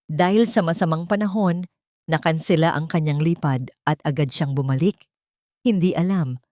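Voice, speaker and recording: A woman's voice: fairly low pitch, a little mellow, no room sound, studio quality. A woman narrating a scripted audio drama in a dramatic storytelling style.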